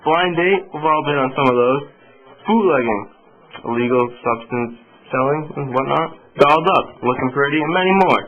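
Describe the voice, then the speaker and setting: A man's voice: medium pitch, normal speed. A man co-hosting a radio show, reading scripted segments and dropping in casual asides. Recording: narrow phone-like radio sound.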